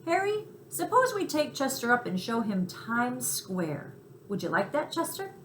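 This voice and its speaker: Woman voicing a chatty, brash, high-pitched city mouse. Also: very animated, measured pace, a bit bright. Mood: knowing, breezy. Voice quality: squeaky. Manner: talkative, scornful of snobs, city slang. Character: Tucker, chatty streetwise male city mouse, brash and friendly. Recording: roomy echo, faint background noise.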